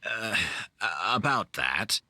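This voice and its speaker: A man playing a hospitable older perfume master in a video game, halting and gently courteous. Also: on the low side, big pitch swings, faint music behind, full character voice. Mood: hesitant, apologetic.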